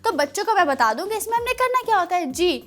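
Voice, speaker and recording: A woman with a Pakistani accent presenting a children's show in a playful, chatty, animated way. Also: very high voice, fast. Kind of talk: playful quiz and segment presenting.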